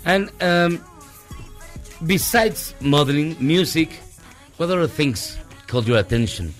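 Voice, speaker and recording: Playful higher-pitched Mexican man hosting over music. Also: lively intonation, slow. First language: Spanish. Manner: playful, joking. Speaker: radio show host and interpreter. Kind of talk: casual bantering interview.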